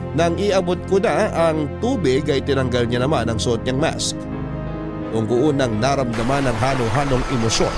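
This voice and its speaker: Male narrator for an audio love story, scripted first-person delivery, heavy music bed. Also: mid-range voice. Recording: loud music bed under the voice.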